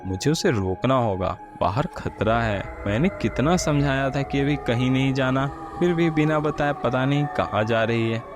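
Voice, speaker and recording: A protective young husband, acted by a storyteller man in a horror tale. He sounds anxious and earnest, his voice lifted with urgency. Music plays clearly behind him. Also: mid-range voice, normal speed, hardly any pauses, voice pushed up, lightly acted. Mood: worried. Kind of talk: Hindi horror storybook dialogue.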